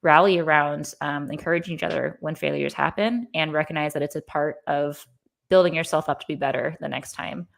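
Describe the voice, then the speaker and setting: Female co-host, low pitch, creaky fry, encouraging interviewer. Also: steady tone, a little fast. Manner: warm, encouraging, many ums. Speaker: podcast co-host. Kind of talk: interview hosting.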